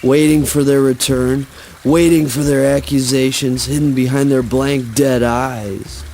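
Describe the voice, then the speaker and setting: A man playing a brooding, anguished father in a theatrical spoken word play, speaking slowly in a declamatory, self-questioning way. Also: mid-range voice, flat delivery, warm tone, slight background noise, lightly acted. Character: brooding, anguished father watching corpses drift downriver.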